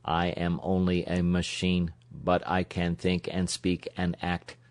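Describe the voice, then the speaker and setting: A mechanical copper man, speaks very low in an utter monotone. He is stiff and polite, every word set down slowly in a storybook style. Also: no room sound. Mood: flat, matter-of-fact. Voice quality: harsh, metallic.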